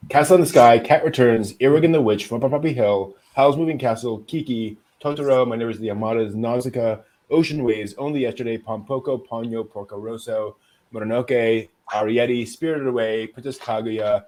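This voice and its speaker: A man's voice: medium pitch, fairly quick, darkish tone, low-quality recording. A man hosting a news podcast, delivering items in a casual, conversational way. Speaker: anime news podcast host.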